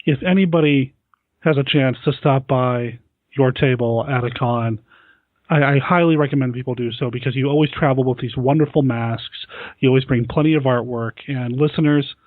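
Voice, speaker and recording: A man's voice: mid-range voice, fairly quick, no room sound, phone-quality sound. An American man hosting a podcast in a casual, enthusiastic and complimentary way, close on the microphone. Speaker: podcast host. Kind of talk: casual podcast talk on classic monster movies.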